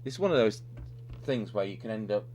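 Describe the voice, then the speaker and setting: Guy with a kinda low voice doing a radio repair demo, bit hesitant, noisy humming recording. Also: animated, brisk. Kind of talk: hands-on technical demonstration, unscripted. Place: indoor radio repair workbench. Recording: clearly noisy recording with electrical hum.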